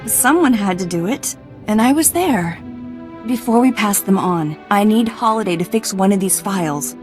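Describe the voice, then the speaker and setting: An actress voices an eager young Jedi heroine from a video game. She is brave and earnest, with casual phrasing and an average female pitch. Faint music sits behind her. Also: animated, normal speed, close to an everyday voice. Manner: earnest, informal.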